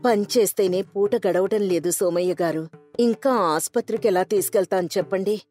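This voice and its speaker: A troubled, poor village wife from a storybook tale, acted by a woman, speaks respectfully at a middle pitch. Music runs underneath. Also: normal speed, warm tone, natural voice. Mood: worried. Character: worried, grateful wife of a sick poor villager.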